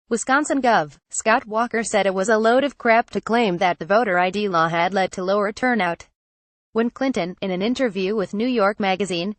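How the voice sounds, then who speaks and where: Woman narrator, mid-pitched, steady reading of a news feature, dead-dry booth sound. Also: dry recording. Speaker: narrator reading a magazine article.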